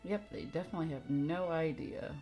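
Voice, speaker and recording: Low, creaky-voiced woman live streaming. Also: fairly quick, dull audio. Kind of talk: casual game stream commentary and reading along. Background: game music.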